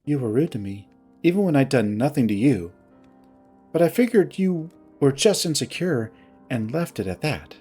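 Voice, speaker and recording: A stern young animal woman in a story, played by a man speaks with curt, deliberate formality. She is wounded and accusing, holding herself tightly, over a bed of music. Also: medium pitch, animated, mellow, close to an everyday voice. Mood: curt, reproachful.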